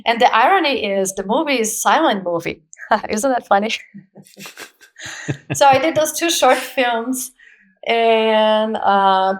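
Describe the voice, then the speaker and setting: A woman in her forties from Brazil, speaking English with a Brazilian Portuguese accent as a guest in an interview, telling her story with lively, laughing energy. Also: on the high side. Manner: animated storyteller, laughs often, acts out quoted voices. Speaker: guest, actress. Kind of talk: casual interview conversation.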